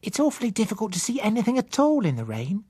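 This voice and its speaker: Give this story a man reading as a little orphaned girl. The voice is small, childlike and lifted high. The child speaks in short, earnest, practical phrases, in a dry, close recording. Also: wildly swooping pitch, fast, dark tone, slightly rough, dry recording, heavily acted. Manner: earnest, simple questioning.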